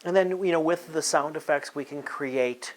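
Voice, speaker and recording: A man's voice: on the high side, normal speed. A man talking casually in an interview as a theatre producer, searching for his words as he goes.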